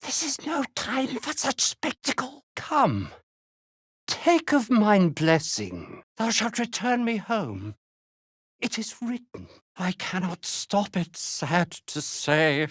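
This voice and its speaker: A woman playing a giggling, unhinged but gently blessing female spirit in a video game, speaking in solemn archaic phrases. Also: medium pitch, wildly swooping pitch, slow, slightly rough, dry recording, studio quality, exaggerated voice. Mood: solemn, pitying. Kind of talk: video game character acting.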